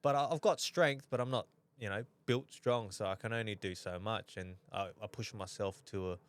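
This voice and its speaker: Smooth low-voiced man, easygoing talker. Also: no echo. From New Zealand. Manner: easygoing, halting, lots of restarts. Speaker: podcast co-host. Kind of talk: casual chat.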